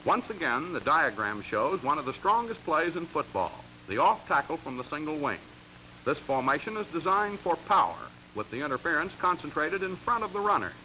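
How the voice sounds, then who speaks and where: A man's voice: on the high side, sing-song, moderate pace, pushed and bright, slight background noise, poor audio. An American man reading scripted narration for a 1940s sports training film in an emphatic, instructional way, on a vintage soundtrack. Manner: crisp, emphatic, instructional delivery.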